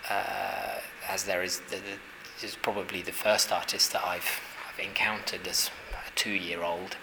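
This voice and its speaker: Halting low-voiced man, noisy gallery. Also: flat delivery, creaky. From Hungary. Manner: thoughtful, halting, with many fillers and restarts. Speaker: artist. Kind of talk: exhibition interview. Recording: noisy ambience at an exhibition.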